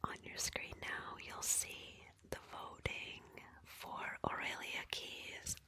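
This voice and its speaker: A woman speaking in a breathy whisper, hosting an ASMR roleplay livestream in a softly deliberate, instructive way. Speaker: livestream host of a murder mystery roleplay. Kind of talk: whispered ASMR roleplay narration with audience prompts.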